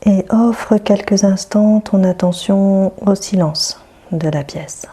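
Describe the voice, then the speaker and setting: A woman guiding a yoga nidra meditation in a soothing, hushed manner, leaving long pauses between phrases. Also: mid-range voice, slightly rough. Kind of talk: guided meditation.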